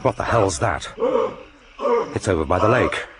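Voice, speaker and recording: An actor in a radio drama playing a grim, vengeful spy in brooding, sardonic inner monologue. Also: male voice, low-pitched, expressive, normal speed. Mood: horrified. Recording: noisy radio drama recording.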